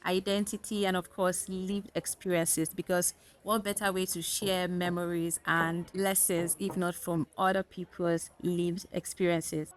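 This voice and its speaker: A woman's voice: on the low side, flat delivery, measured pace, a little hiss or hum. A woman with a Nigerian accent hosts a podcast interview, talking in a chatty and upbeat way. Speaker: podcast host.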